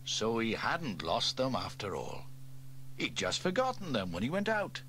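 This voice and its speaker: A man narrating a children's audio story in an expressive, animated read-aloud manner. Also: mid-range voice, a little slow, slight background noise.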